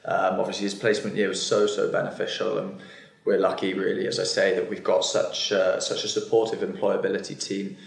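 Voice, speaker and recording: A man's voice: sits low, completely flat delivery, fairly quick, creaky, reverberant. A young man hosting a university open day panel, upbeat with many fillers, filmed from across a meeting room. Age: young adult. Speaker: host. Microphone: far camera.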